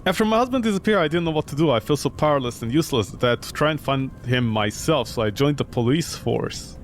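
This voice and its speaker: A man voicing a calm, long-winded old immigrant woman, a former policewoman, in a game. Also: mid-range voice, fast, slight background noise, lightly acted. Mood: nostalgic. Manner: recounting her life at length.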